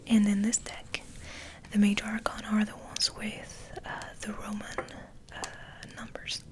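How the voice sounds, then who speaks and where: A woman hosting ASMR, rambling in a soft, breathy, hesitant whisper. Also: medium pitch, completely flat delivery, measured pace. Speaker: ASMR video host.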